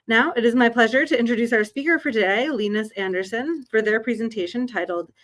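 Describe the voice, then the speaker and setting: Female moderator, fairly high voice, polite and formal delivery. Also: fairly quick, a bit bright. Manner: polite, formal, reads housekeeping notes. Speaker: webinar moderator. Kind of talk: scripted webinar introduction.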